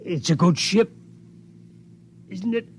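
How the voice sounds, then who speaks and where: A man playing a frail, eccentric elderly millionaire, gentle but determined and hesitant, in 1950s radio drama style. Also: high-pitched, slow pace. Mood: wistful, hopeful. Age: older. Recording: muffled, noisy 1950s radio recording.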